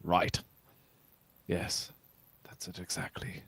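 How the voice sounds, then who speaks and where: A man playing a dignified, ageing, reserved starship captain with measured, formal delivery in a sci-fi radio theatre read. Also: a bit bright, dry recording. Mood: melancholy.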